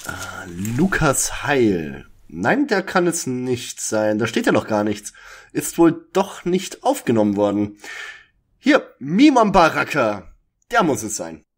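A man's voice: mid-range voice, very animated, slow. A man playing a fussy, bookish young detective in a parody detective audio drama, with a pedantic manner. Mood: matter-of-fact.

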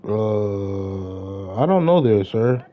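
A man's voice: on the low side, lively intonation, drawn-out words. A young American man chatting casually on a gaming livestream, slangy and repeating his greetings to viewers. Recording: slightly dull, lo-fi stream mic.

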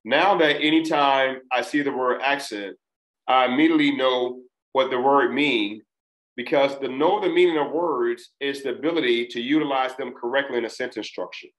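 Male instructor of Trinidadian background, mid-pitched, careful deliberate diction, webcam in an echoey room. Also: a bit bright. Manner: deliberate, enunciating each syllable. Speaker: test prep instructor. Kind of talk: recorded lesson. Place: small room, indoors.

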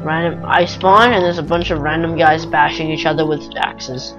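A young man chatting casually over a video game with a friend, excitable, sarcastic and sweary. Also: fairly high pitch, moderate pace, poor audio. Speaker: gamer commentating over gameplay. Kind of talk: casual gameplay chat with a friend. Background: game music and sounds.

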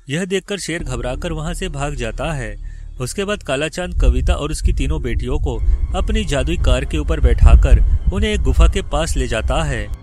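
A man narrating a scripted children's moral story. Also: fairly high pitch.

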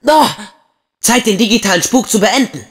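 A man playing an eager, loyal teenage boy hero in a video game, with an expressive, earnest, youthful delivery. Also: high voice, normal speed, breathy edge, big-room sound. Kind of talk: video game voice acting, German dub.

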